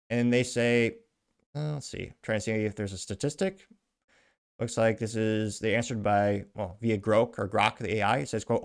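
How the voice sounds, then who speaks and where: A man hosting his own show, reading social media posts aloud with chatty asides in casual news commentary. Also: mid-range voice. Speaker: show host.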